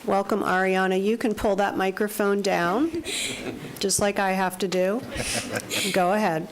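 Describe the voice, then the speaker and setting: A woman chairing a public hearing, brisk and friendly as she calls speakers. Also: mid-range voice. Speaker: meeting chair. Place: county commission chamber. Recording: noisy chamber with audience chatter.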